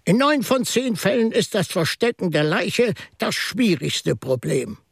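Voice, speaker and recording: Radio drama shrewd, mild priest-sleuth: husky, creaky, slow-thinking and measured, close dry sound. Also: male voice, high voice, big pitch swings, dry recording, heavily acted. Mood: calm, probing. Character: Father Brown, mild, shrewd, reflective priest-detective. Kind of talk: German radio drama acting.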